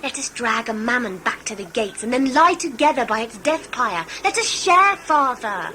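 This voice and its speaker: Headstrong huntress daughter female actor, yearning and dramatic, slightly high, very lively delivery for audio drama. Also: normal speed, background noise. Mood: pleading, yearning. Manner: impassioned, defiant, theatrical. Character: wilful, yearning young huntress, daughter of a hunter. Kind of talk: sci-fi audio play acting.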